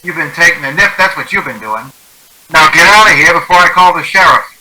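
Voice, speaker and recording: A gruff, sharp-voiced stand keeper. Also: male voice, a little high, a little fast, poor audio. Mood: angry, threatening. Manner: brusque, irritable, dismissive. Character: gruff, suspicious married roadside stand keeper. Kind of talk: radio drama acting.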